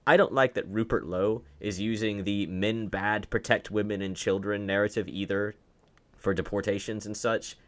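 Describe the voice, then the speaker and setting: A man with a fairly low voice delivers opinionated online commentary. His manner is argumentative and sarcastic, and he leans on rhetorical questions to press his case. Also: fairly even intonation, moderate pace, fairly bright tone.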